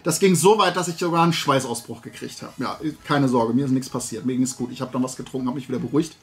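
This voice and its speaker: A man in his forties telling an anecdote casually to camera, animated and with sarcastic asides. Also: medium pitch, quick pace. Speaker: host of a talk and toy channel. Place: small room at home with shelves of collectibles.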